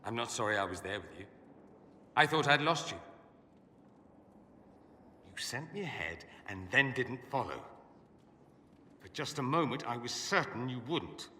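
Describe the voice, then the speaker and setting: An actor playing a witty, flamboyant and sardonic mage in a fantasy game, with an arch, teasing delivery. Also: male voice, mid-range voice, animated, a little slow, pauses often, sharp tone, big-room sound, slight background noise. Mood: hurt, tender. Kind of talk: scripted fantasy game dialogue.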